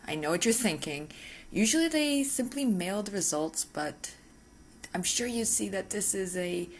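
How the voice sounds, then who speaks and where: A woman voicing an obsessive, possessive but politely spoken female vampire lab technician in an ASMR roleplay, with teasing, hesitant asides. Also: mid-range voice. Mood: polite, eager. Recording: faint background noise.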